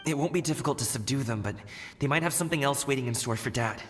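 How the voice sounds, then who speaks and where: A man's voice: mid-range voice, quick pace, slight background noise, natural voice. Game hero male actor: earnest young guard, mid-range voice, dutiful, sincere. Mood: determined. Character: earnest young man, dutiful escort guard and martial fighter. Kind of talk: video game voice acting.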